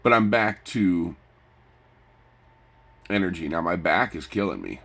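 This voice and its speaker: A man with a stuffy nose chats casually in a personal vlog, rambling and halting, often repeating his phrases. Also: deep voice, lively intonation, a bit bright. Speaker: vlogger. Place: recorded lying down.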